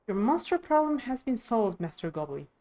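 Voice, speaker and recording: A woman's voice: a little high, very animated, moderate pace, warm tone. A woman reading video game dialogue aloud expressively on a live stream.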